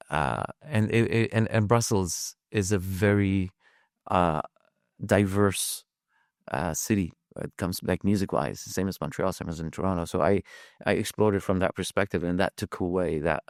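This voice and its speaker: Low-voiced man telling his story. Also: dry recording, clean studio sound. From Lebanon. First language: French. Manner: halting, many restarts and fillers, swears casually. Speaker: podcast guest. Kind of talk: casual storytelling.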